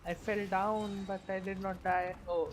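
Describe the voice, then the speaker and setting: A woman streamer giving casual, low-key gameplay commentary, thinking aloud as she plays. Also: little pitch movement, measured pace. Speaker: game streamer. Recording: faint game noise behind voice. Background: game sound.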